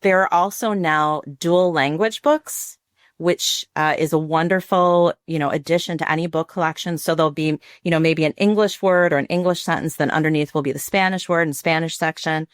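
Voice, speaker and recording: An American woman with a low-pitched voice talks in a conversational interview style. She explains things as a program director would on a podcast. The sound is dry, with hardly any room on it. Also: fairly even intonation, moderate pace, clean tone, dry recording.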